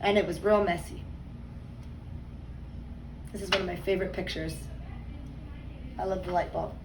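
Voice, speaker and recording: A woman teacher reading a picture book aloud to young children, expressively and deliberately, stressing words and adding conversational asides. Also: fairly low pitch, fairly even intonation, gravelly. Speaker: second grade teacher. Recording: roomy echo, distant mic, faint noise.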